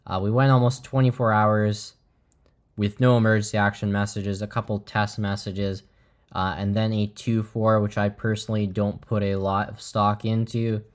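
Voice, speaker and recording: Male livestream host, lower-pitched voice, informal live commentary, measured and a little halting. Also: little pitch movement.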